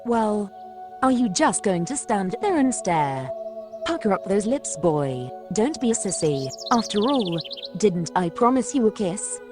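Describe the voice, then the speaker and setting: A woman's voice: mid-range voice, sing-song, unhurried. A woman playing a bossy, flirtatious gun-toting survivor in an audio drama, brash and teasing. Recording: music under the voice.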